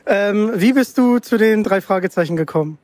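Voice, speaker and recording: A man's voice: high-pitched, moderate pace, darkish tone. A man hosting a casual podcast interview. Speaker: podcast host. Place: book fair.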